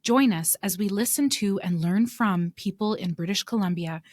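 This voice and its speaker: Woman host with a measured, formal delivery. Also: mid-range voice, darkish tone. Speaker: podcast host. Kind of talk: scripted podcast introduction and moderating. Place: live panel event.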